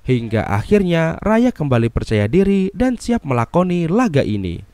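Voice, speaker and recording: A man's voice: on the high side, very animated, moderate pace, warm tone, slight background noise. An Indonesian man with a congested, nasal voice, narrating a film recap in a scripted style with casual, slangy asides. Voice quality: nasal, stuffy-nosed. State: unwell, congested.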